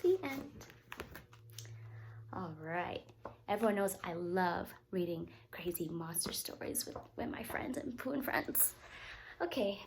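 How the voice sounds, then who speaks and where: Slow-speaking woman reading a children's story. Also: fairly high pitch, a little hiss or hum. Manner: expressive reading with explanatory asides to children. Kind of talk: picture-book read-aloud to young children.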